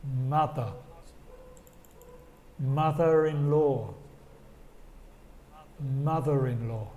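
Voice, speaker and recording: Slow, patient British male English teacher. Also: mid-range voice, dark tone, a little hiss or hum. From Britain. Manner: slow, patient, repeats each word twice. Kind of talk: online language lesson.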